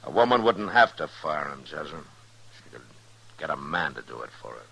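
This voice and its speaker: An actor playing a steady, plain-spoken middle-aged American marshal in an old radio western, firm and laconic. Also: male voice, very deep voice, moderate pace, vocal fry, breathy edge, heavily acted. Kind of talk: 1950s radio western acting. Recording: noisy old-time radio recording.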